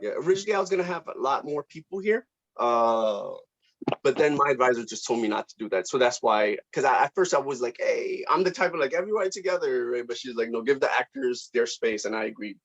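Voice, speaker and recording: A man speaks casually and appreciatively, like a director organizing his cast. His phrasing is hesitant and informal, and his mid-pitched voice comes through muffled, lo-fi call audio. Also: expressive, quick pace. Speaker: student film director running a table read meeting. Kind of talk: casual meeting chat. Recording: dull lo-fi online call audio.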